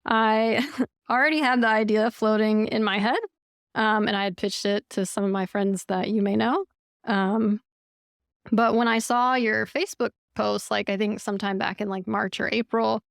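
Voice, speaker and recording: A woman's voice: medium pitch, creaky, clean tone, no echo, clean studio sound. A woman hosting a podcast interview, chatty and casual, with heavy use of "like" and "um". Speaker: podcast host.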